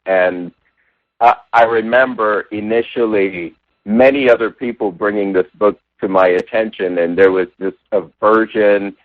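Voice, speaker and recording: A Jamaica-born man with a lowish voice tells his spiritual story as an interview guest. He speaks thoughtfully, restarting phrases and leaning on "you know". The sound is a narrow, phone-like line with no room echo. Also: steady tone, a little slow. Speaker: interview guest, religious science practitioner. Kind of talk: reflective personal storytelling. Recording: tinny phone-like line, dry.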